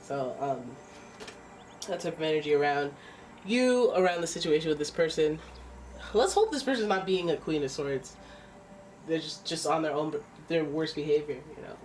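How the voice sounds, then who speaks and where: A woman's voice: low voice, a little mellow, recorded from a distance, slight background noise. A woman with a stuffy nose giving a casual, chatty tarot reading, exclaiming and thinking aloud as she turns the cards. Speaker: tarot reader.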